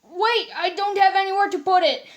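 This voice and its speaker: An easygoing skeleton guy with a joking, sentimental streak, read by a woman. The voice is high for the part, in a storybook read-aloud. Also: fairly even intonation, moderate pace. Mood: excited.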